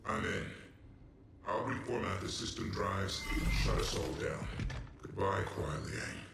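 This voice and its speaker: A man's voice: low-pitched, unhurried, creaky, heavy background noise, full character voice. A man voicing a solemn, duty-bound cyborg ninja in a video game, with formal speech and a metallic robot effect. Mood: resolute. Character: male cyborg ninja, duty-bound, anguished at being a machine. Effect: metallic robot voice processing. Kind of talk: cinematic video game acting. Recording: echoey, distant, under loud music.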